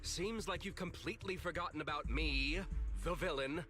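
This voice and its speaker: An actor playing a blustering, impatient villain in a video game, brash and threatening. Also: male voice, on the high side, normal speed, sharp tone, faint music behind. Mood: blustering, baffled. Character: blustering, impatient male villain, easily thwarted.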